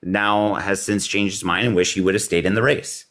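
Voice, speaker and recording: A man arguing politics in a heated call, combative and rambling with many fillers, his voice a little hoarse. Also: fairly low pitch, fairly even intonation, moderate pace, a bit bright. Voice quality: slightly hoarse. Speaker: debate caller.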